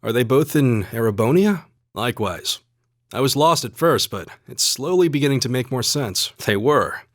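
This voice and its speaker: Casting: male actor as young male student fighter for a video game, calm and courteous, mid-pitched with lively intonation, dry close sound. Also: no room sound, natural voice. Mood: calm, earnest. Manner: measured, polite, earnest.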